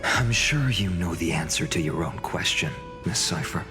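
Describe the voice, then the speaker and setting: A man's voice: deep voice, expressive, moderate pace. A man playing an earnest, courteous young heroic leader in a game, composed and polite. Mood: earnest, confident. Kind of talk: scripted game drama acting. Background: music.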